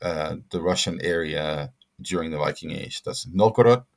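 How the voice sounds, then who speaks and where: A middle-aged man answering interview questions as a scholar, speaking haltingly with many fillers and restarts. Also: rumbling low, vocal fry. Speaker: guest scholar.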